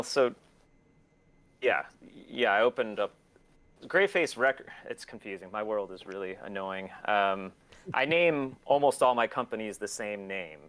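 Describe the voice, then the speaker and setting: A man's voice: lowish voice, fairly bright tone. A man talking casually as an interview guest, rambling in a self-deprecating way and swearing freely. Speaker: interview guest, record label and shop owner.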